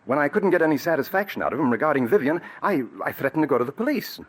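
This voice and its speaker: A man's voice: on the high side, lively intonation, racing, slightly rough. An actor playing a scheming male murderer in a 1940s radio drama, nervous and evasive. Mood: calm account. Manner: nervous, evasive, breaks into manic laughing. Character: scheming male murderer, smooth then cracking. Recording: old radio broadcast recording.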